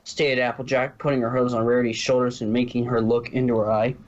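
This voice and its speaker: A man's voice: medium pitch, flat delivery, quick pace. A man narrating fan fiction informally, stumbling over words now and then, on a muffled home recording.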